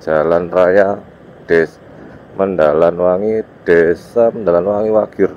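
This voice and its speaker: Male motovlogger, East Javanese accent, congested from a cold. Very deep, informal riding commentary, muffled outdoor recording with faint road noise. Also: steady tone, slow pace, a little mellow. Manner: informal, speaking in short loose phrases to viewers. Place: outdoors, riding a motorbike on village roads. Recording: dull muffled sound, motorbike ride noise. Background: vehicle and road noise.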